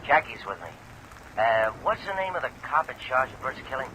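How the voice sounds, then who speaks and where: An actor playing a brisk, businesslike go-between on the telephone in an old radio drama, curt and insistent. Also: male voice, medium pitch, big pitch swings, bright, forceful tone, rough recording. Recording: vintage radio recording, rain noise.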